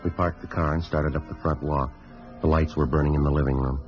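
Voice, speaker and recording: A dull vintage radio recording of a very deep-voiced police sergeant. He is calm and weary, talking quickly in clipped, matter-of-fact phrases, as in a 1950s detective drama. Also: faint music behind. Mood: flat, businesslike. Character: calm, weary male police sergeant narrating his case.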